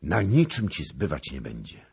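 A man voicing a fervent, commanding king in a dramatic story reading, with forceful delivery. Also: rumbling low, wildly swooping pitch, moderate pace, vocal fry, slightly rough, full character voice. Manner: forceful exclamations and urgent questions. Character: impassioned king, fervent, commanding, quick to roar.

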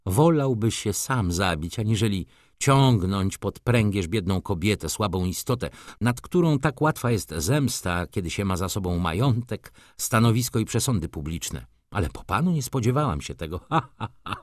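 Man voicing an imperious society lady, pitched up. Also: lively intonation, unhurried, darkish tone, no room sound, studio quality, lightly acted. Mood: indignant, scornful. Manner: commanding, theatrical, exclamatory. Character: imperious, flirtatious, indignant society lady, outspoken and bossy.

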